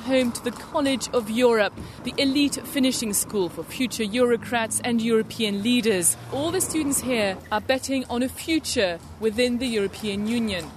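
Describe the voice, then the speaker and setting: A woman reporting for radio, narrating and asking questions in a bright, inquisitive way. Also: on the high side, normal speed, noisy background. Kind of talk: radio feature reporting and interviewing.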